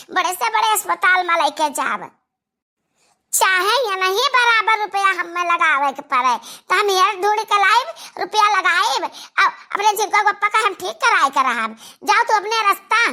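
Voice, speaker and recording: A cartoon village woman, extremely high and piercingly bright. She wails and scolds in an Awadhi accent, loud and melodramatic, with a creaky edge. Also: lively intonation, a little slow, exaggerated voice. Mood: defiant. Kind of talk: exaggerated cartoon comedy dialogue.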